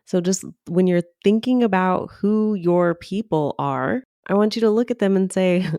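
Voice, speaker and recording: A woman's voice: medium pitch, normal speed, warm tone, no room sound, studio quality. A woman co-hosting a podcast, giving advice in a conversational, explanatory way that leans on "like" and "right?". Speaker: podcast co-host.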